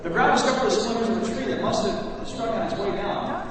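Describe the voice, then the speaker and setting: An actor playing an agitated, halting radio field reporter in a live radio play, speaking up urgently. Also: male voice, very high-pitched, animated. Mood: awed, halting. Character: agitated male radio reporter at a crash scene. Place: theater stage, live radio play performance. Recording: echoey hall from far off, loud crowd noise.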